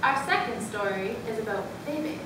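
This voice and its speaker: A woman's voice: expressive, moderate pace. A woman narrating a scripted story in an amateur readers' theatre performance. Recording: distant, very echoey room, noisy with rumble.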